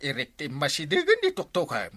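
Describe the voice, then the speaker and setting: Teasing, excitable male villager played by a man, Ilocano accent, highish and extremely animated, comedy drama. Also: brisk, no room sound, exaggerated voice.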